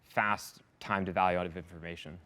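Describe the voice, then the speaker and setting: A man giving polished, upbeat answers in a business interview, speaking as a software company executive. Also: low-pitched, fairly even intonation, fairly quick. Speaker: head of sales, success and support at a software company, interviewed as a customer.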